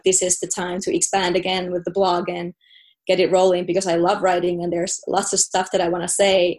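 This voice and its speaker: A woman's voice: on the low side, darkish tone. A young woman from Finland with a Finnish accent, a native Finnish speaker, chatting casually as a podcast guest with many fillers and restarts. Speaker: guest, professional volleyball player. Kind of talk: casual interview chat.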